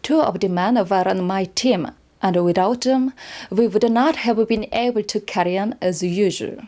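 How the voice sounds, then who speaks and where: A woman's voice: mid-range voice, normal speed, mellow, close to an everyday voice. A woman playing a loyal, formal weapons scientist of an empire, giving orderly briefings in a game cutscene. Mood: formal, dutiful.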